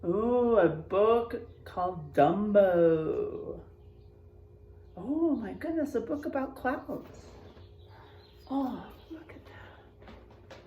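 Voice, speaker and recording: Lady teacher doing a storybook read aloud for little kids, slow and really sing-song up and down, draws out the rhymes, chats and exclaims between bits. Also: medium pitch, phone-quality sound, slight background noise. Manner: expressive, drawn-out emphasis on rhymes, exclaiming. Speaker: elementary school teacher reading aloud. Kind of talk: animated picture-book read-aloud with chatty asides to children.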